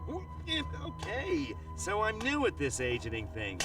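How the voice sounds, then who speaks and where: An excitable, slick showbiz agent in a cartoon. His highish voice leaps all over as he hustles and placates, with loud music behind. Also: normal speed, distant mic. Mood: eager, wheedling. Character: eager, fast-talking, placating male showbiz agent.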